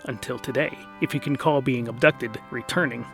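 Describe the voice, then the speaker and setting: Man narrating comic fantasy over soft music. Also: mid-range voice, normal speed, gravelly.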